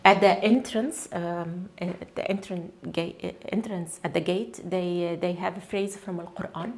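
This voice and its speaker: A woman whose first language is Arabic, speaking English as an interview guest in an earnest, halting way with frequent restarts. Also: on the low side, steady tone. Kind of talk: conversational interview.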